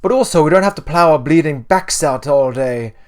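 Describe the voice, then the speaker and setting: A woman's voice: low-pitched, lively intonation, a little fast, darkish tone, pitched above the performer's own voice. A man voicing a brash, loving rural mother in a storybook, with an Irish accent and bossy, teasing folksy talk. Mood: excited, teasing.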